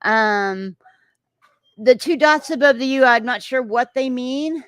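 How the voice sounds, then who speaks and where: A woman's voice: high voice, slow pace, fairly bright tone, no room sound. A woman with a Texan accent hosting a casual livestream, reading out viewer comments and reacting in a rambling way with many filler sounds and restarts.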